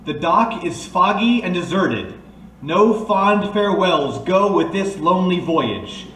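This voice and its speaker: A man's voice: high-pitched, animated, unhurried, a bit bright. A man giving scripted, atmospheric narration for a radio detective drama. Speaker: narrator and bit player. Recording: echoey, distant mic, faint noise.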